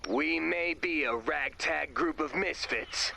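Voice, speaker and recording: A man voicing a dim but earnest, squeamish young misfit in a video game, with a gravelly voice. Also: fairly high pitch, slow, bright, forceful tone, a little hiss or hum, heavily acted. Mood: unsure.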